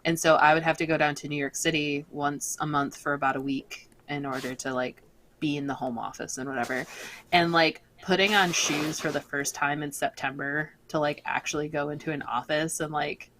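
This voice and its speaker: A woman's voice: low-pitched, steady tone, moderate pace, fairly bright tone. An American woman chatting casually about everyday life in a group conversation. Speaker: software company worker. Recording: noisy online call mic.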